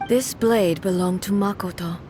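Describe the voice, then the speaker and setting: A woman voicing a stoic, solemn warrior ruler in a video game, formal and measured in her delivery. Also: mid-range voice, background noise, close to an everyday voice. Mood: reflective, solemn. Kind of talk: scripted video game voice acting.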